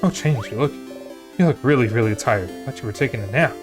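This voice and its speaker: A chatty friend speaks brightly and kindly, lifting the voice up, as a man voices the part in a game. Music is plain behind. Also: medium pitch, animated, fast, lightly acted. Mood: friendly.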